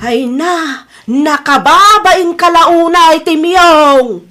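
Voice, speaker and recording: A woman's voice: extremely high pitch, drawn-out words, sharp tone, soft background music, full character voice. A woman with an Ilocano accent playing a disappointed, nagging mother who reproaches her son in an audio drama. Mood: ashamed, reproachful. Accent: Ilocano, northern Philippines. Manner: reproachful, lamenting.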